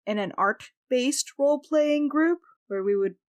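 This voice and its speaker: A woman's voice: high-pitched, expressive, measured pace, clear, resonant tone. A woman talking casually as one of the panelists on a role-playing podcast. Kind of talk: casual chat.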